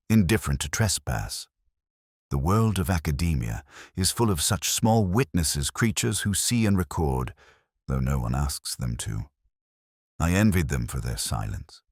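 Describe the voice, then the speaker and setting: An extremely deep-voiced, brooding detective played by a man tells his case in the first person. The delivery is measured, reflective and somber, with melodic rises and falls, like an audio crime drama. The sound is dry and close. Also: breathy edge, no room sound, heavily acted. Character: brooding, melancholy English male police detective narrating his case.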